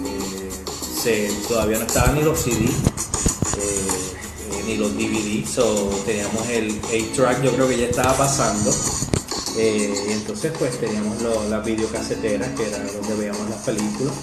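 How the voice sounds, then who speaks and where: A Puerto Rican man in his forties, an actor answering interview questions, reminiscing with many hesitant pauses and fillers. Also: fairly low pitch. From Puerto Rico. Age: 40s. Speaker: actor guest. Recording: roomy echo with music behind.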